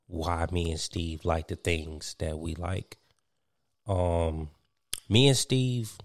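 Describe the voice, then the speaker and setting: Deep-voiced young man, rambling chat. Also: a little mellow, no room sound, studio quality. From Virginia, US. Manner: rambling, filler-heavy, laughs often. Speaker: podcast host. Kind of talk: casual chat.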